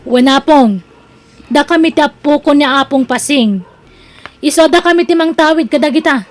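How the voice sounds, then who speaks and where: A younger woman played by an actress in an Ilocano family radio drama. Her voice is very high with an Ilocano accent, set over background music. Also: normal speed, close to an everyday voice. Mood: insistent.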